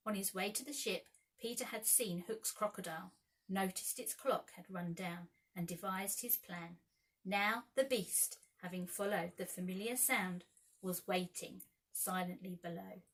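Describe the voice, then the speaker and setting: Bright-voiced woman reading a children's story. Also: mid-range voice, unhurried, poor audio. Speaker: storyteller, school staff reader. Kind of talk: expressive children's story narration.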